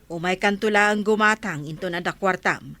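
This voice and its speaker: Actress as a bright, curt village councilwoman. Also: fairly low pitch, normal speed, dry recording. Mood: grudging, dismissive. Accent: Ilocano. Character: grudging woman village councillor refusing to give credit. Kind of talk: Ilocano comedy radio drama acting.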